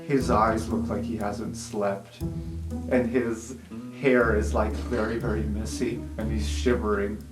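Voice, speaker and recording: A man's voice: fairly low pitch, flat delivery, a little slow. A man narrating an improvised tabletop game as game master. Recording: echoey room, music underneath.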